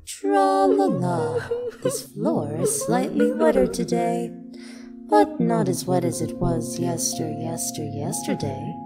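A woman's voice: fairly high pitch, big pitch swings, slow pace. A woman voicing a mysterious, whimsical river ferry person in a comic dub, cryptic and sing-song. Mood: whimsical, eerie. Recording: loud music behind the voice.

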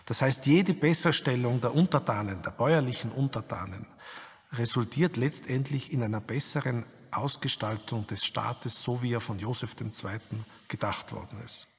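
A man with a very rough, husky voice gives an expert interview. He explains in an academic way, and the room leaves a long reverb around him. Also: mid-range voice, moderate pace.

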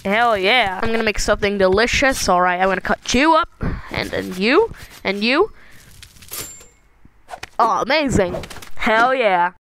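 A woman improvising a cartoon fan dub as an amateur voice-over performer, with an excitable, exclamatory, sweary manner. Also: medium pitch, unhurried, pauses often. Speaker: amateur voice-over performer dubbing animated shorts. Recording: noisy home recording with clicks.

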